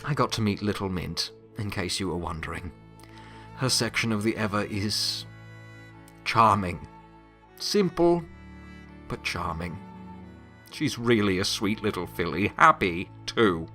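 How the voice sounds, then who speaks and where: A man playing a weary, wry, remorseful pony who serves as Death, speaking in a reflective, halting, confiding monologue in an audio drama. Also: fairly low pitch, lively intonation, sharp tone, soft background music, exaggerated voice. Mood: gentle, wistful.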